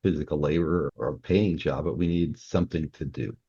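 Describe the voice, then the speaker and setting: A man's voice: rumbling low, fairly quick, dark tone, slightly rough, dry recording, dull audio. A man talking casually as a podcast guest, explaining his views earnestly with examples. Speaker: security company worker.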